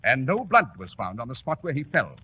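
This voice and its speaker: An actor playing a commanding, self-assured English gentleman detective in a 1940s radio drama, with a crisp British delivery. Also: mid-range voice, sing-song, fairly quick, heavily acted. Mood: probing, authoritative. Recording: dull, faintly noisy 1940s radio recording.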